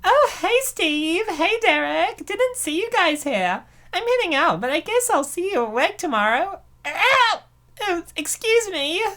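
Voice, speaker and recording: A woman's voice: very high voice, big pitch swings, a little slow, bright, forceful tone, full character voice. A woman voicing a cheerful, self-indulgent young woman in an amateur comic dub. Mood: breezy, friendly.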